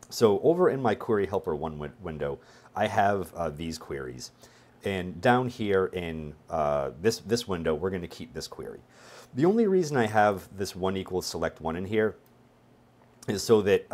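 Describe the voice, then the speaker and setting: A man's voice: low voice, a little mellow. A man in his forties giving a casual, conversational technical lesson, thinking aloud as he demonstrates. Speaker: instructor, database consultant presenting a tutorial.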